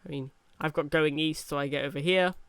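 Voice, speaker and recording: A man's voice: a little high, fairly even intonation, brisk, vocal fry, fairly bright tone, clean tone, no echo. Chatty young man with a cold. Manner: rambling, chatty, with many fillers and restarts. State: has a cold. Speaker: gaming YouTuber. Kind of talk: casual gameplay commentary.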